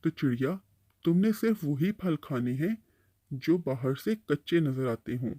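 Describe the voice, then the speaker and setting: A kindly uncle tree from a children's cartoon, acted by a man. He has a soft, mellow voice, a little high for a male, and advises patiently, like an elder explaining things to a youngster. The sound is close and dry. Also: lively intonation, moderate pace, dry recording. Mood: explaining, cautioning. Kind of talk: Hindi-Urdu cartoon storytelling dialogue.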